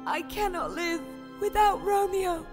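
A woman's voice: very high-pitched, music in the background. An actress playing a heartbroken young noblewoman, theatrical and tragic, in a dramatized classic. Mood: despairing. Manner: slow, theatrical. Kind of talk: dramatized illustrated-classic audio play.

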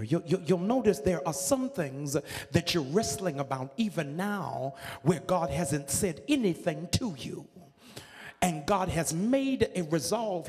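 A middle-aged man preaching a sermon to a congregation on a handheld mic in a large church, moving from measured teaching into sung, shouted preaching. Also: a little high. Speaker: pastor. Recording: long reverb of a church hall.

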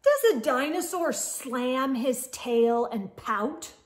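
A woman, about forties, hosting storytime and reading a picture book to young children with expressive, drawn-out emphasis. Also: sits high. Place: indoors, small room lined with bookshelves.

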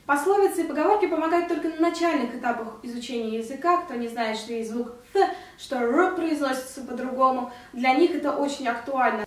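A woman's voice: sits high, heavy reverb, distant mic. A young woman in her twenties from Russia, earnestly explaining her thoughts to the camera in a casual vlog with her hands moving. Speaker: vlogger. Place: indoor room at home.

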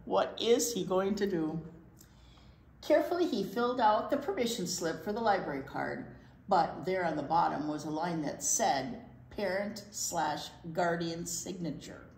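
Older female storytime reader for children: lower-pitched, expressive, recorded in a roomy space. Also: gravelly. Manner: expressive, pausing for asides to young listeners. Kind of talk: reading a picture book aloud to children. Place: indoor library storytime set. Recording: roomy indoor sound.

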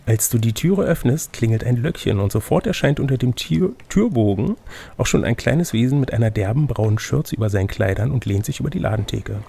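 Husky, creaky male gamebook reader. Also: medium pitch, animated, fairly quick, warm tone. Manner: teasing, playful. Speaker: game master and reader of an adventure gamebook. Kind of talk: reading a gamebook aloud with casual banter.

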